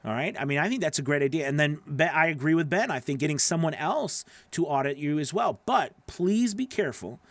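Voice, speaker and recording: A man's voice: fairly high pitch, lively intonation, fairly quick, hardly any pauses, dry recording. A middle-aged man giving casual, conversational advice as a host, emphatic and often checking in with the listener, close on a studio microphone. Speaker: host, voice-over coach. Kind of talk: casual advice to live viewers' questions. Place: small home studio, indoors. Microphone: broadcast microphone on a boom arm, close.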